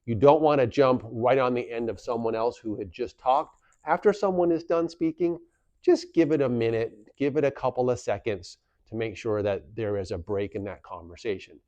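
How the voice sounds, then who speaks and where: A man's voice: medium pitch, normal speed, a little mellow, no echo, dull audio. A man presenting an instructional how-to, explaining plainly step by step and repeating key points for emphasis. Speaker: instructional video presenter for a community association.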